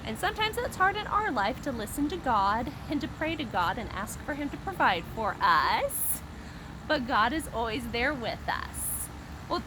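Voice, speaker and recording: Woman, children's story time host, high and very lively, simple questions and asides, noisy ambient recording. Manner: expressive, simple explanations aimed at small children.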